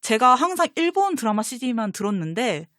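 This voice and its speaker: A woman telling fan stories in casual chat, animated and dropping in quoted asides. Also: on the high side, brisk, dry recording, studio quality. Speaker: guest.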